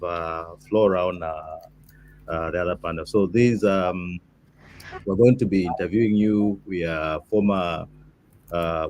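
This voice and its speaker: A man from Zambia leading a mock interview panel, talking in a relaxed, hesitant way with many fillers. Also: low-pitched, a little hiss or hum.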